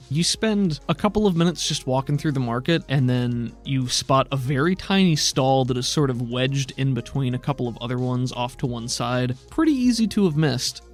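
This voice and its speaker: A man acting as game master, narrating an improvised tabletop game in a conversational, descriptive way. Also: medium pitch.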